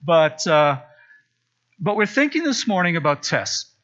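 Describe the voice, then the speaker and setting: A man's voice: fairly high pitch, very animated, measured pace, fairly bright tone, highs cut off. A man from Zambia preaching a sermon in a church, chatty and storytelling, with frequent asides. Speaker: pastor. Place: church sanctuary.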